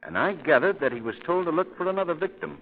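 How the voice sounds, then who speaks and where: A man with an American accent acting a calm, shrewd gentleman sleuth in 1930s radio drama style. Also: a little high, fast. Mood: measured. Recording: muffled old 1930s radio recording.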